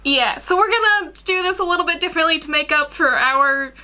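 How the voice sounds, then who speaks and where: High, bright-voiced young woman. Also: a little fast. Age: young adult. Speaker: co-host, guest illustrator. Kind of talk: casual chat. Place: small indoor room at home.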